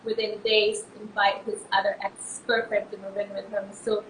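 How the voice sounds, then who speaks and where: A woman guest telling her personal story haltingly, with many fillers and restarts, her emphasis rising on key words. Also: medium pitch, level tone, a bit bright, slight background noise. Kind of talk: emotional personal testimony. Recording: roomy echo.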